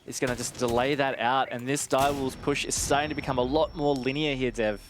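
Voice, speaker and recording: A man with a medium-pitched voice offers analytical esports commentary. He talks in a measured, conversational manner over faint background noise. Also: fairly even intonation.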